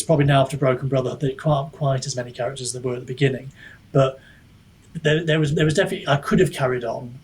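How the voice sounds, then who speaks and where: A man's voice: medium pitch, a little mellow, husky, rough recording. A man chatting reflectively as an author being interviewed, hesitant and self-deprecating, often wandering mid-thought. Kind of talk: casual reflective podcast chat.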